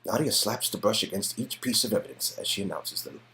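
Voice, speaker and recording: A man's voice: fairly low pitch, expressive, brisk, gravelly, bright, forceful tone. A man narrating scenes from an interactive story game as scripted, descriptive storytelling. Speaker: narrator of a game-dialogue performance.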